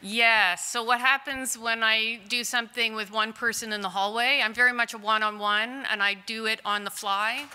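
A woman's voice: on the high side, steady tone, normal speed, hardly any pauses, clear, resonant tone. Chatty woman in an echoey hall. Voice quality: loud voice. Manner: chatty, candid, laughs often. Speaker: clay artist in long-term care. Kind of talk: conference Q&A answers. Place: conference hall. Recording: distant in a large reverberant hall.

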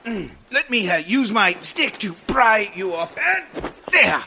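An actor playing a pompous, bumbling costumed vigilante hero who declaims grandly in a comic audio drama. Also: male voice, sits high, big pitch swings, takes it slowly, vocal fry, bright, forceful tone, slight background noise, full character voice. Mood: awkward, fussy.

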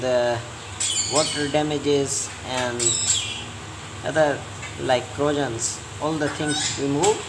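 A man giving a step-by-step hands-on repair tutorial as a technician, in halting, repetitive short phrases. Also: mid-range voice. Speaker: repair technician and channel host. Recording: clear steady hum of room noise.

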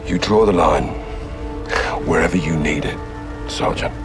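An actor playing a gruff, hardened British special forces captain in a video game, terse and commanding. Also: male voice, very deep voice, unhurried. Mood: grim, controlled. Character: gruff, hardened male military captain, ruthless and pragmatic. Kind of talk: video game cutscene acting. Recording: loud music behind the voice.

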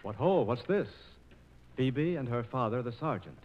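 A man's voice: medium pitch, expressive, normal speed, lightly acted. A man narrating a 1940s radio operetta in a scripted, theatrical style. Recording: tinny, band-limited 1940s radio recording with music.

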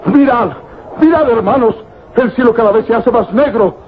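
A man's voice: very high voice, moderate pace, a faint crowd. An actor playing a panic-stricken male Bedouin chief, shrieking melodramatically in a vintage radio drama. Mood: terrified. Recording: dull, very noisy old radio recording.

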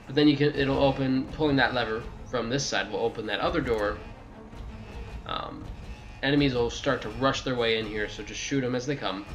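A man's voice: medium pitch, fairly quick, muffled recording, faint music behind. A man giving a casual video game walkthrough, rambling and correcting himself, with jokey asides to the game. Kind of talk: casual gameplay walkthrough commentary.

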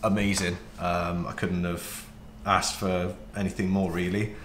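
Slow, low-voiced Welsh man. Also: echoey room, slight background noise. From Wales. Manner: relaxed, brief answers with frequent ums. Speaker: wedding videographer guest. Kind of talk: casual interview. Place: home studio office, indoors.

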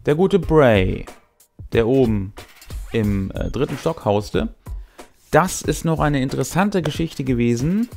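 A man in his thirties talks as a game master, explaining behind-the-scenes details to the camera. His voice is mid-pitched with plenty of creak, and soft music plays behind him in a small room. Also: expressive, unhurried. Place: small indoor room with brick wall.

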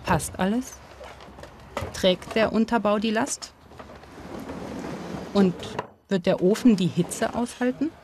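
A woman narrating a documentary in a calm, measured, informative way. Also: medium pitch, background noise. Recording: voice-over with music behind. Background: music.

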